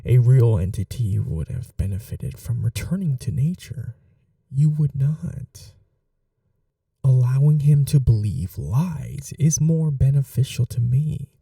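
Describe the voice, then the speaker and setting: Dark, velvety scheming prince, acted by a man. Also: medium pitch, expressive, vocal fry, no echo, far from the mic. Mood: smug, calculating. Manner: soft-spoken, deliberate, formal, coldly menacing tenderness. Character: scheming evil young prince, possessive devoted lover. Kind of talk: ASMR romantic fantasy roleplay monologue.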